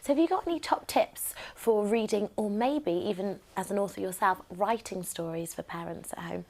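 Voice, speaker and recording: A British woman works as an interview host with a medium-pitched voice. She mixes scripted presenting with chatty questions, and often agrees with and echoes what is said to her. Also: moderate pace, gravelly. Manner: affirming, agreeable, often echoing the guest.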